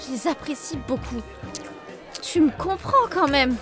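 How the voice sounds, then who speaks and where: A woman's voice: high voice, animated, measured pace, fairly bright tone, soft background music. A woman voicing a blunt, hot-tempered but loyal schoolgirl in a fan-dubbed cartoon. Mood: worried, indignant. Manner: blunt, sweary, indignant. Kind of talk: fan-dub cartoon acting.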